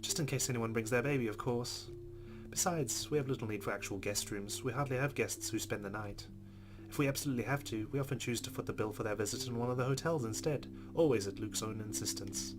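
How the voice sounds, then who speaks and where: A man's voice: medium pitch, fast talker. Man reading game narration over guitar music. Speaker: let's play host. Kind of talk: reading game narration aloud with casual commentary.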